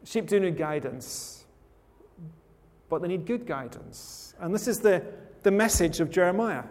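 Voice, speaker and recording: A man from rural Scotland gives a sermon in a high voice, easygoing and conversational, with gentle humor. His voice rings out with a long reverb in a large hall. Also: animated, a little slow. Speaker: preacher.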